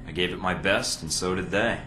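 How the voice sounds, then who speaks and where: Low-voiced, wry secret agent recruit. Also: male voice, brisk, slight background noise. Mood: easygoing. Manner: casual, wry, questioning. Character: young male secret agent recruit, easygoing, wry, inquisitive. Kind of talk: video game voice acting. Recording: roomy echo, loud game music behind.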